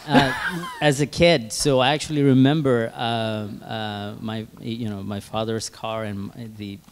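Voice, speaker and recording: A man from Tanzania telling casual anecdotes as a panelist, halting and amused at himself. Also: lowish voice, steady tone, slight background noise. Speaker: product head on a panel.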